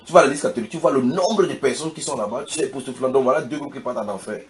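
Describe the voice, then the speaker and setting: A man hosting a religious talk, speaking fast and emphatically as he questions his guest and repeats his words. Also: mid-range voice, a little hiss or hum. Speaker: host, preacher.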